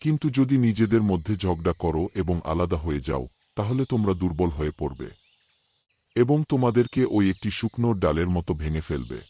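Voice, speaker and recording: A man's voice: low voice, big pitch swings, fairly quick, dark tone, slightly rough, no echo, heavily acted. A man voicing a hardworking old village farmer who lectures his quarreling sons in an earnest, fatherly way. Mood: lecturing.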